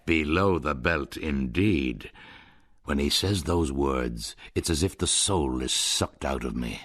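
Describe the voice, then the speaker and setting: A man narrating a radio drama in the first person, measured and brooding. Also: a bass voice, lively intonation, a bit bright.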